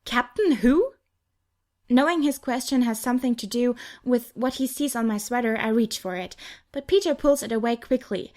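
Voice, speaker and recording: A woman's voice: a little high, brisk, a little mellow. A woman reading first-person young adult fiction as an audiobook narrator.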